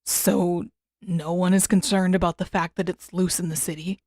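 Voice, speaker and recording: A woman's voice: fairly low pitch, level tone, normal speed, a little mellow, dry recording, close to an everyday voice. A woman playing a determined teenage prince in a storybook, speaking in an earnest, matter-of-fact way. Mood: concerned.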